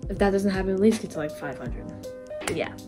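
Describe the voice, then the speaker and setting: A woman's voice: mid-range voice, quick pace, over loud music. A young woman chatting casually as the host of a reaction video, recorded in a room at home.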